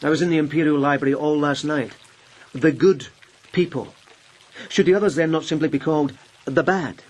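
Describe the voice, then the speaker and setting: An actor playing a plainspoken, brooding police lieutenant of humble birth in a radio drama. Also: male voice, a little high, normal speed, darkish tone, close to an everyday voice. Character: rough-born police lieutenant, brooding and wry.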